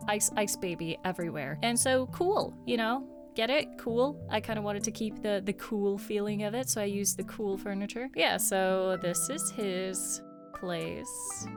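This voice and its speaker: A woman's voice: medium pitch, unhurried, music in the background. A woman giving a casual, chatty narrated tour of her video game island, with playful jokes and asides. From Swedish heritage.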